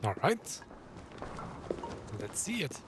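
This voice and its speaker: Wry young gamer reacting. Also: male voice, a little high, very animated, slow pace, noisy background. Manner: wry, offhand. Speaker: gameplay commentator. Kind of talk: casual gaming reactions.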